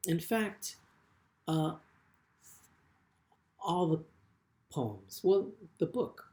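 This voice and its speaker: Slow, deliberate man reading poetry. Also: a little high, sing-song, mellow. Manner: deliberate, measured phrasing with frequent pauses. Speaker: poet reading own work. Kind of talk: literary poetry reading with brief spoken introductions.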